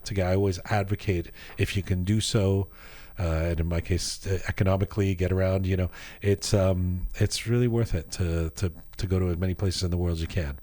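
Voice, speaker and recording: A low-pitched man chatting as a podcast host in a studio. He talks in a rambling, animated way, often restarting his sentences as he tells stories. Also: brisk. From Iranian heritage. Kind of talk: casual chat.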